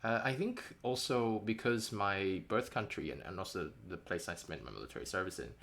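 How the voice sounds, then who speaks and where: Gravelly man rambling on a live stream. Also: low-pitched, animated, brisk, a bit bright. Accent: light New Zealand English. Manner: rambling, self-correcting, wry. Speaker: indie game developer streaming a devlog. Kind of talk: casual live-stream chat.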